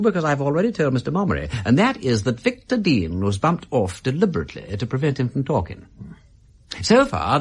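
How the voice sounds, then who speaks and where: Radio drama casting: mocking British gentleman informer, languid and witty, husky, hugely animated intonation. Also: mid-range voice. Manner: arch, languid, teasing, flourishing turns of phrase.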